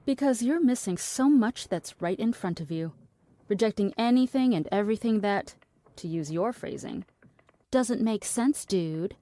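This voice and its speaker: Actress playing a needling, philosophical woman for audio drama, soft-toned, pointed and condescending. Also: medium pitch, animated, measured pace, close to an everyday voice. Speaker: actor.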